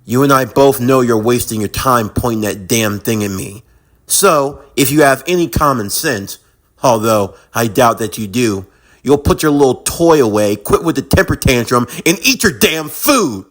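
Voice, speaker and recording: A man's voice: high-pitched, big pitch swings, measured pace, creaky, a bit bright, full character voice. A man playing a defiant, bitterly sarcastic young man in an intense anime-style drama, with a profane, taunting, emphatic delivery. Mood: cold, scornful.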